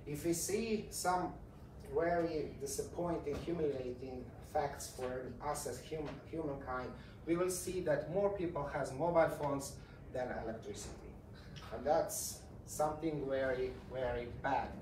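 A man's voice: a little high, unhurried. Croatian guy doing an informal conference talk, accented, repeats words, echoey and a bit far from the mic. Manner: informal, repeats words, non-native phrasing. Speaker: conference speaker, tech company CEO. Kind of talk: conference presentation. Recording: roomy, distant mic with faint noise.

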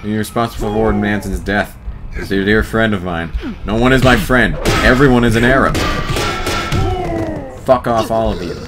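A man doing a let's play commentary, exasperated, swearing and yelling at the game. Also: fairly low pitch, normal speed, pauses often. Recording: commentary over loud game music. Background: game music.

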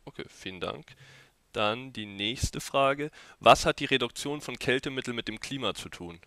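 Bright-voiced young male moderator on a headset. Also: medium pitch, expressive, moderate pace, no room sound. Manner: polite, reads questions out. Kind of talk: moderating a live Q&A. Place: indoors at a desk.

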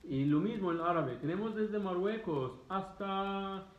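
Higher-voiced Egyptian man in an echoey room. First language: Arabic. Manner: emphatic, repeats himself, halting foreign-speaker phrasing. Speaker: vlogger answering students' questions. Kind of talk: casual explanatory talk to camera. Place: living room, indoors. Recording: roomy echo, dull muffled sound.

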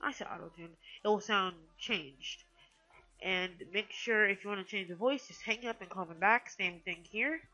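A woman hosting a casual software tutorial in a hesitant, rambling way, often correcting herself. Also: mid-range voice, moderate pace, low-quality recording. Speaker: tutorial host.

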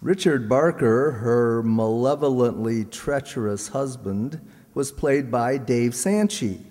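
A man's voice: mid-range voice, takes it slowly, darkish tone, a faint crowd. A man reading out cast credits to an audience as announcer, with joking asides. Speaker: announcer of a community radio players' show. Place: large hall stage, indoors. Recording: echoey hall, audience noise.

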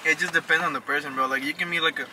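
A man's voice: on the high side, fairly even intonation, racing, pushed and bright. A young man chatting casually with friends as co-host of a car vlog. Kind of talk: casual banter among friends. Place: inside a car. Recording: noisy car interior, constant background noise.

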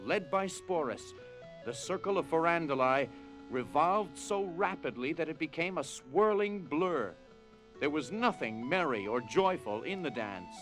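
A man reading dramatized storybook narration in a measured, solemn way. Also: fairly high pitch, lively intonation, fairly bright tone, poor audio. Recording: music behind.

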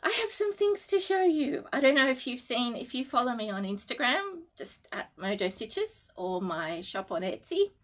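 A woman's voice: fairly high pitch, sing-song, moderate pace, few pauses. A middle-aged Australian woman chatting to camera from her living room, giggly and a little nervous, with lots of fillers and restarts. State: sleep-deprived and a little nervous. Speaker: needlework designer and vlogger. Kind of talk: casual chat to camera.

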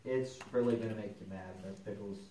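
A man's voice: on the low side, fairly quick, warm tone, lightly acted. A man voicing a mischievous, stubborn schoolboy puppet in a children's church show, with a casual, scheming, exaggerated delivery. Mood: worried. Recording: very echoey, distant mic, noisy.

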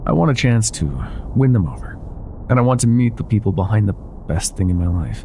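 A man playing an older ex-mafia boyfriend, wry, tender and self-doubting, with a husky, breathy voice in an intimate roleplay audio. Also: on the low side, animated, brisk, dark tone, slight background noise. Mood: tender, teasing.

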